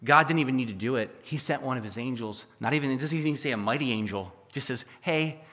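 A hoarse man preaching a sermon as pastor, reading scripture and adding chatty, joking asides, often checking in with "okay?" and "right?". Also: mid-range voice, fast talker, a bit bright. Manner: conversational, with jokey asides and frequent "okay?" and "right?". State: hoarse from losing his voice. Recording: echoey hall reverb.

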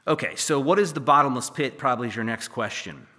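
A hoarse man preaching a sermon as pastor, reading scripture and adding chatty, joking asides, often checking in with "okay?" and "right?". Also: mid-range voice, quick pace, pushed and bright. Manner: conversational, with jokey asides and frequent "okay?" and "right?". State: hoarse from losing his voice. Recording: echoey hall reverb.

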